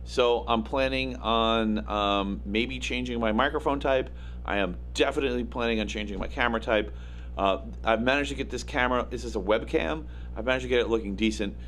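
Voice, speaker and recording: A man hosting a casual show from his home studio, with a gravelly, raspy, slightly nasal voice, rambling with plenty of fillers and self-deprecating asides. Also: mid-range voice, fairly quick, fairly bright tone. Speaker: host. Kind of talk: casual livestream talk. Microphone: studio microphone. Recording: faint hum in the electronics. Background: faint electrical hum.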